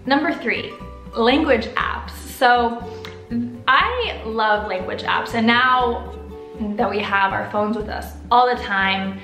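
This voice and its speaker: A young American woman vlogging to camera from her living room, upbeat, expressive and chatty. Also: fairly high pitch, slow, echoey room, soft background music, low-quality recording. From United States. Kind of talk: casual to-camera vlog. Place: living room with sofa, indoors.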